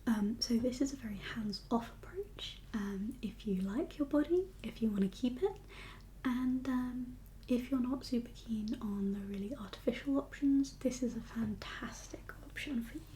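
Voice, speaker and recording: A woman whispers in a breathy, mellow voice, set a little high. She talks like a doctor in an ASMR roleplay, gently reassuring and a touch hesitant as she goes. A faint hiss sits behind her. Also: normal speed.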